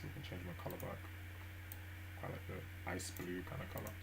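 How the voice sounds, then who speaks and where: A man live-streaming his language study, thinking aloud with many fillers and restarts at a gentle pace. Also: low-pitched, monotone, fairly quick, vocal fry, background noise. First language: English. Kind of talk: relaxed study stream narration.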